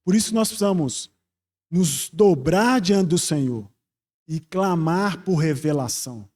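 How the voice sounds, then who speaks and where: A Brazilian man teaches scripture as a preacher. His voice sits high for a man and has a soft, mellow tone. He speaks earnestly and reflectively, often in rhetorical questions. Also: lively intonation, a little slow. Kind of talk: expository Bible teaching sermon.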